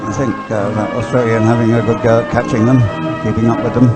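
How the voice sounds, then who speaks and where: A man's voice: medium pitch, little pitch movement, quick pace, over loud music. A man giving informal live race commentary, hesitant and often repeating himself as he thinks aloud.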